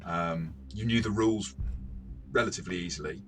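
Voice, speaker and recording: A man co-hosting a casual game review discussion, talking in a rambling, halting way and often repeating words. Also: low-pitched, soft background music. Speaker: podcast host and game reviewer.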